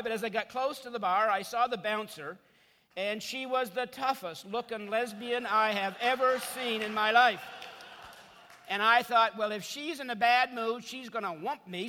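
A middle-aged man from Canada delivers a guest sermon in a lively, storytelling way, poking fun at himself. His voice sits high and sounds bright and forceful. It rings out with a long reverb tail in a large hall. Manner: chatty, self-deprecating storyteller. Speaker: guest preacher. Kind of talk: sermon with humorous stories.

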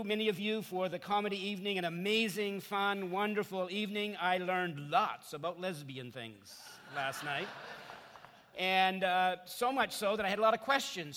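A man's voice: sits high, pushed and bright, long reverb tail, slight background noise. A middle-aged Canadian man preaching as a guest pastor, telling self-deprecating, chatty stories to his congregation. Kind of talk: sermon with humorous stories.

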